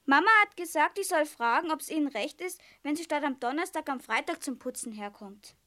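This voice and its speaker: A woman's voice: sits high, lively intonation, a little fast, bright, forceful tone. A female performer playing a polite, helpful young girl, speaking eagerly in a children's radio play.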